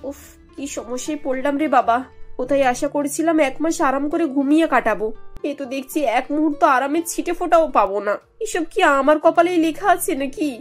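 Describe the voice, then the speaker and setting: A cartoon young daughter-in-law, put on by a woman speaks in a high voice over faint music. She sounds harried and flustered, with a whining, put-upon manner. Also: fairly quick, lightly acted. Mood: exasperated. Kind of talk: animated cartoon dialogue.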